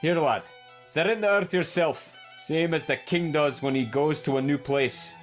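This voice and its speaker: A man playing a gruff but loyal old gardener in an audio drama, blunt and rustic. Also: a little high, normal speed, faint music behind, lightly acted. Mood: kindly. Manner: plain-spoken rustic, blunt.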